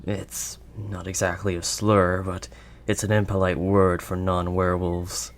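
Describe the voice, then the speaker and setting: A man voicing a gruff but kindly werewolf of the wild woods in an intimate audio roleplay, with a halting, gently protective manner. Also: low-pitched, flat delivery, slight background noise. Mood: sheepish. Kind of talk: audio roleplay, intimate second-person acting.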